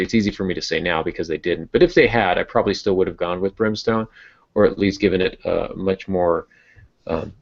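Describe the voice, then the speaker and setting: Low-voiced man chatting, dull lo-fi audio. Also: gravelly. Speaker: novelist and podcast co-host. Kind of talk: casual chat, storytelling.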